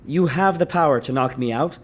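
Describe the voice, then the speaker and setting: A man's voice: a little high, a little fast, a little hiss or hum, lightly acted. An actor playing an indignant, rattled young male grad student who protests with exasperation in a naturalistic audio drama. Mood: defensive, disbelieving.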